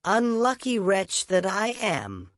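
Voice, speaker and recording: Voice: mid-range voice, wildly swooping pitch, slow pace, a bit bright, no echo, studio quality, voice pushed up, full character voice. A narrator voicing a brutish, self-pitying male carter in a storybook, grumbling and exclaiming. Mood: wailing despair. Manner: blustering, wailing exclamations.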